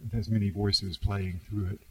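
A man interviewing a poet, asking careful, measured questions and encouraging him with frequent murmurs of agreement. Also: lowish voice, flat delivery, dark tone, husky.

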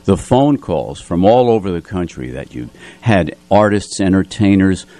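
A man with a low-pitched voice talks as a radio co-host and announcer. He is casual and effusive, circling back over phrases to stress them, close to a studio microphone. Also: animated, normal speed, mellow, dry recording. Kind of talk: casual radio chat and show introduction.

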